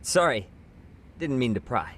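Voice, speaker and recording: Breezy detective sidekick, animated intonation, medium pitch, cheeky, informal, game dub. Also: male voice, a little slow, slight background noise. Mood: breezy, curious. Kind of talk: English-dubbed video game cutscene acting.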